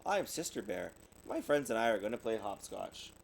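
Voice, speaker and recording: A man's voice: lowish voice, big pitch swings, moderate pace, creaky, a little hiss or hum, rough recording. A man voicing a young girl bear cub from a storybook, childlike, friendly and earnest. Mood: bright, friendly.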